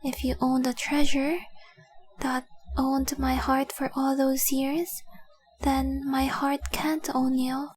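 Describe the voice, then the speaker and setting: A high, slow voice of a woman playing a young dragon girl in a romantic audio roleplay. She is devoted and tenderly possessive, speaking with intimate, earnest care and keeping her melody very even. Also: no echo, low-quality recording. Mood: solemn, confessional. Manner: earnest, intimate, deliberate, with breathy whispered phrases.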